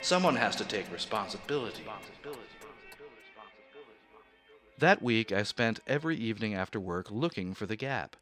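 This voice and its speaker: A man voicing a fussy, precise accountant who narrates in a measured, dry way. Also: fairly low pitch, very animated. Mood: indignant. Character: fussy, precise middle-aged male accountant, rigid and easily rattled. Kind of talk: radio drama narration. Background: soft music.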